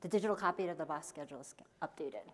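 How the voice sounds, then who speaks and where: A woman's voice: deep voice, racing. A woman co-hosting a conference stage, reading upbeat announcements about the event. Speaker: event co-host. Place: large conference hall. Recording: long hall reverb, faint ambience.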